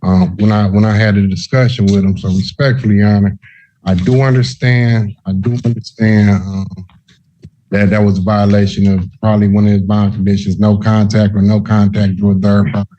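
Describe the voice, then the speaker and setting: A man speaks as a defense attorney in court, with a low, dark voice. His delivery is deferential and repetitive. The audio is dull, with a faint noise behind it. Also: level tone, fairly quick. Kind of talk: courtroom argument.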